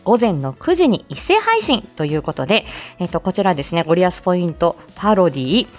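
A woman's voice: on the low side, very animated, brisk, soft background music. A woman hosting a live audio show, chatting casually and politely through morning announcements.

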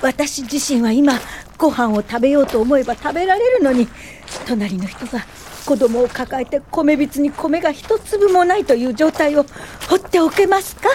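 A woman's voice: high-pitched, lively intonation, normal speed, darkish tone, a little hiss or hum. A woman playing a determined, compassionate pioneer social worker, earnest and polite, in a radio drama. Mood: passionate.

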